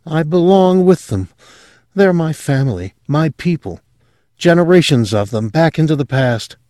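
A man voicing a young woman who is eerie and rapturous, speaking softly and breathlessly. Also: fairly high pitch, lively intonation, normal speed, no echo, studio quality, pitched above the performer's own voice, natural voice. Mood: rapt.

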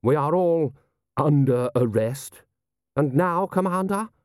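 A man's voice: fairly high pitch, lively intonation, slow, darkish tone, no echo, studio quality, pitched above the performer's own voice. A man voicing a pompous, indignant aristocratic army lord with a haughty, blustering manner.

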